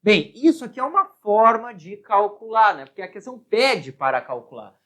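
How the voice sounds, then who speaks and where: A woman's voice: mid-range voice, very animated, moderate pace, fairly bright tone. A woman with a Brazilian accent working through a physics problem as a teacher, explaining and often checking understanding with short tag questions. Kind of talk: spoken worked-problem lesson.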